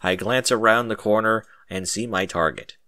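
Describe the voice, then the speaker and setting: A man reading a story as animated scripted narration, recorded close and dry. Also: on the low side, moderate pace, no echo. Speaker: narrator of a fan fiction audiobook.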